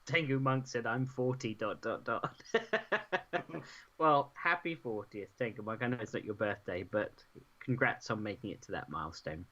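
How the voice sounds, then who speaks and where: A man's voice: on the low side, normal speed, pushed and bright, highs cut off. A man chatting playfully with his brother and reading out chat comments on a live stream. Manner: teasing, playful, breaks into bits of song. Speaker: co-host and brother.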